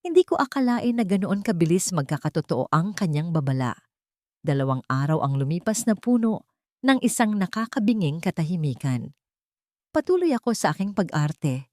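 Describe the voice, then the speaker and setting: A woman narrating a scripted first-person drama in a measured, deliberate way. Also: on the low side, darkish tone, no room sound, studio quality. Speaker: story narrator.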